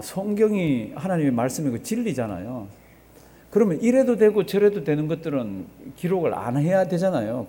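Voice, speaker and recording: A man's voice: a little high, dark tone. A male pastor preaching a sermon in a conversational way, putting rhetorical questions to his congregation and repeating phrases for emphasis. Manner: conversational, asks the congregation rhetorical questions and has them respond.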